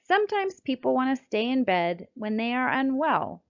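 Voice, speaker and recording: A middle-aged American woman reads a scripted text aloud as a children's author, in a deliberate, expressive storytelling manner. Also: high voice. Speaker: children's book author reading her own book. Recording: dull, lo-fi sound.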